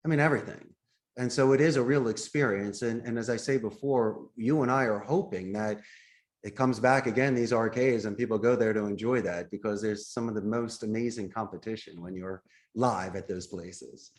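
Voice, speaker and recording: A man's voice: lowish voice, moderate pace. A middle-aged man speaking as an interview guest, earnest and emphatic in a conversational way. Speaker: podcast guest, company CEO.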